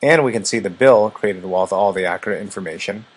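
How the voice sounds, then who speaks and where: A man narrating a scripted software product demonstration as its presenter. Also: fairly low pitch, a little fast, breathy edge.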